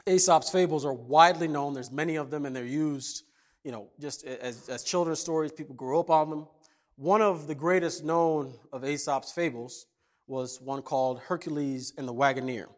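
Pastor, male, from Kenya; relaxed storytelling sermon, playful asides, slightly high voice. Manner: conversational, jokey, animated. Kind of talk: casual sermon with stories.

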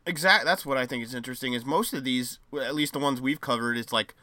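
A man's voice: medium pitch, fast talker, bright, forceful tone, no echo. A man co-hosting a podcast, chatting casually and hesitantly with many fillers. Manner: hesitant, filler-heavy musing. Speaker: podcast co-host. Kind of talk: casual chat.

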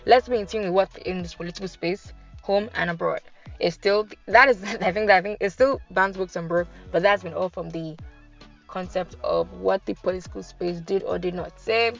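A young woman with a Nigerian accent hosting a podcast in casual, animated chat. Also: on the low side, moderate pace, gravelly, highs cut off, faint music behind. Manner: chatty, animated, laughs and switches into pidgin. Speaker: podcast host.

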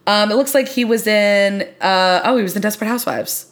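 A woman's voice: medium pitch, fairly quick. Woman chatting casually, reviewing television. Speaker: podcast co-host.

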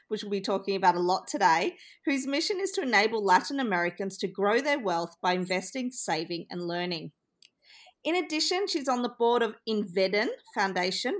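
A woman hosting a business podcast, reading a prepared introduction in an upbeat way and then interviewing her guest. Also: mid-range voice. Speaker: podcast host.

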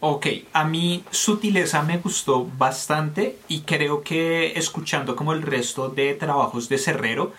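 A man's voice: medium pitch, fairly bright tone. Candid Colombian man reviewing music. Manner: candid, opinionated, thinking aloud with fillers. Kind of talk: casual music review chat.

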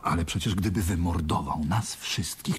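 A man acting a nervous, bewildered young heir in a Polish radio drama, husky and stammering. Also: low-pitched, normal speed. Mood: flustered, confused. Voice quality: husky, breathy. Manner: stammering, hesitant, flustered. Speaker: actor in a radio play.

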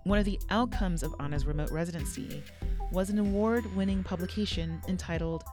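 Measured man reading an intro over music. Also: sits high. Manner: measured, read from a script. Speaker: podcast co-host and curator. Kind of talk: scripted introduction. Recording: music bed behind voice.

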